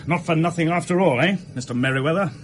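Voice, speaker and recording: An actor playing a gruff police detective in a radio drama, plain-spoken and mocking. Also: male voice, on the high side, fast, slight background noise, lightly acted. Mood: sardonic. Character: gruff, sarcastic police detective making an arrest.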